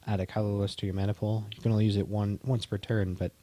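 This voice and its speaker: A man co-hosting a casual stream, chatting in a relaxed, halting way with frequent restarts. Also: lowish voice, no room sound. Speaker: co-host opening card packs. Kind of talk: casual chat.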